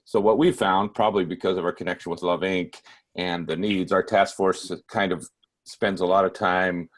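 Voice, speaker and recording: A man's voice: low-pitched, fairly even intonation, moderate pace. An American man talking informally on an online conference call as a participant. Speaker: task force member asking a question. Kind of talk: informal discussion on a group call. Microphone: video call microphone.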